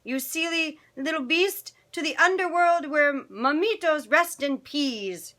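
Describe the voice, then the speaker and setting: A woman's voice: sits high, animated, unhurried, bright, forceful tone, voice pushed up, full character voice. A woman voicing playful, bossy little male Chihuahuas in a storybook, theatrical and sprinkled with mock Spanish. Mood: teasing.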